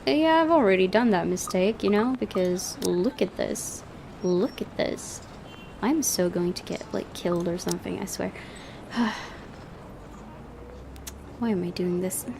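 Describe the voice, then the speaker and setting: Woman doing a visual novel playthrough, lots of vocal fry, narrates n chats casually, bit of noise behind. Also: mid-range voice, unhurried, long pauses. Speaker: gaming video host.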